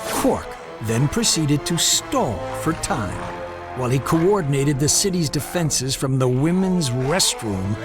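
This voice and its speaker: An actor playing a dry, deadpan robot butler narrating a comic-book story. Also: male voice, fairly high pitch, slow pace. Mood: mock-epic narration. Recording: music behind the voice.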